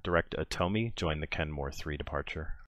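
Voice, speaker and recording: A man with a very deep, low voice works as a departure controller. He delivers short, procedural air traffic instructions in a clipped manner. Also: fairly quick, fairly bright tone, no room sound.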